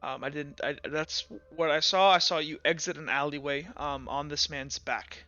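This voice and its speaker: A man playing a shaken guard commander who gives reluctant, halting testimony in a tabletop game. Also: fairly high pitch, steady tone, pushed and bright, highs cut off, soft background music. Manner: halting, hesitant, stammering.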